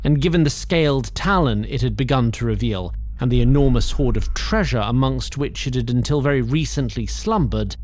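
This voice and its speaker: A man's voice: mid-range voice, normal speed, darkish tone. A man narrating an audio drama in a dry, wry storytelling manner.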